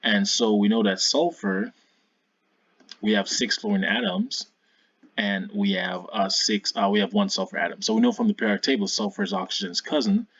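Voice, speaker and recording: A man's voice: on the low side, big pitch swings, moderate pace, creaky, fairly bright tone, slightly rough, no echo, dull audio. A man giving an informal science tutorial as a video host, hesitant, often repeating and correcting himself.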